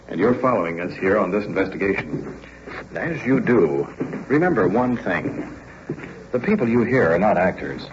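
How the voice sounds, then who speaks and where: American male radio reporter, low voice, deliberate on-scene narration, dull 1950s sound with background voices. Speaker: police recorder, radio host. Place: on location in police station and outdoors. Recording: dull vintage radio recording, crowd noise.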